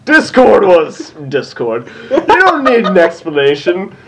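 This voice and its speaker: Guy reading as smug taunting horse villain from a fanfic, highish voice, boastful, pitch all over. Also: wildly swooping pitch, measured pace, a crowd in the background, exaggerated voice. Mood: smug, aggrieved. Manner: boastful, self-justifying.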